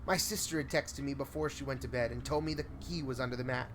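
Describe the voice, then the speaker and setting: A man's voice: mid-range voice, fairly even intonation, fast, a little hiss or hum, poor audio. A man reading a scripted first-person horror story in a suspenseful, theatrical way. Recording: prominent music under the voice. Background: music.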